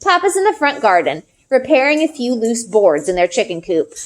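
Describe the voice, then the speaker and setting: A woman reads a children's novel aloud as a first-person narrator. Her voice sits fairly high and swings expressively across each sentence. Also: sing-song, moderate pace.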